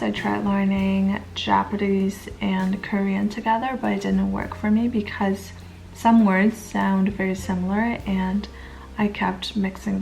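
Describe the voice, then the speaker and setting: A woman in her twenties from Canada, narrating a language-learning routine in a soft, gentle, near-whispered voice-over. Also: medium pitch, gravelly, low-quality recording. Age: 20s.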